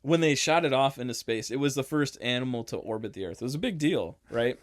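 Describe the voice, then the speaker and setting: A man's voice: mid-range voice, animated, fairly quick, no echo. Young man, podcast co-host, laughs a lot, loose joking talk, dry close mic. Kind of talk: casual chat.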